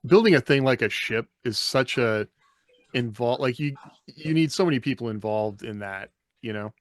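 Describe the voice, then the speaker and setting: A man's voice: medium pitch, normal speed. A man co-hosting a podcast in casual, conversational discussion. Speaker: podcast co-host. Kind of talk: casual chat.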